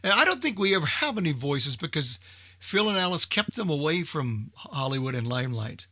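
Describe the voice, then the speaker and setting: Bright-voiced man chatting on radio. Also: a little high, big pitch swings, fairly quick, no room sound, clean studio sound. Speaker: radio show host. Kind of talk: casual unscripted radio talk.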